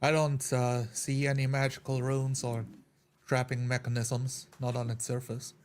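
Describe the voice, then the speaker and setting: Slow, flat-toned male roleplay player. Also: mid-range voice, a little hiss or hum. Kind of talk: descriptive character narration.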